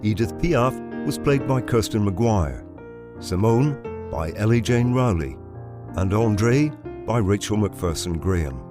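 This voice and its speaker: A man's voice: fairly low pitch, lively intonation, slow. A man reading scripted closing credits as an announcer. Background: piano music.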